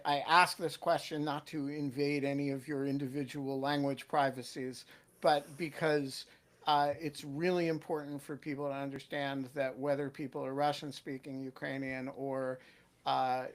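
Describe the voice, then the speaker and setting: A man hosting an online panel discussion, talking emphatically and thinking aloud with fillers and restarts. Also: mid-range voice. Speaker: host.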